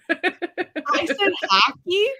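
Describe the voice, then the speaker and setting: A woman's voice: very high voice, extremely slow, dry recording, clean studio sound. A Canadian woman with a Canadian accent, telling stories animatedly in a casual video-call chat. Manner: animated storyteller.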